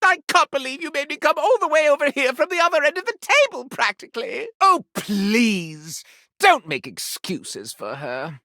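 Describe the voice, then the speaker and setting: A man's voice: very high-pitched, bright, forceful tone, no echo, clean studio sound, heavily acted. A man playing a flamboyant, gossipy living candelabra in a video game, speaking in dramatic exclamations, mock-archaic turns and coy asides. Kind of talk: animated video game character acting.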